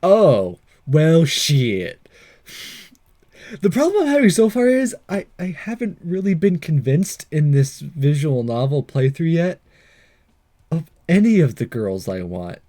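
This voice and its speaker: Male let's-play host: animated, slightly high but soft-edged voice, casual and wry, thinking aloud while playing. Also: measured pace. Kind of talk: casual game commentary, reading text aloud.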